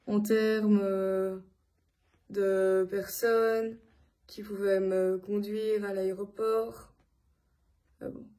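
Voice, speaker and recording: A woman from Belgium giving a casual personal account to her followers, speaking in a halting way with many filled pauses. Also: medium pitch, flat delivery, dark tone. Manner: hesitant, halting delivery with frequent long filled pauses. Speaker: vlogger addressing followers.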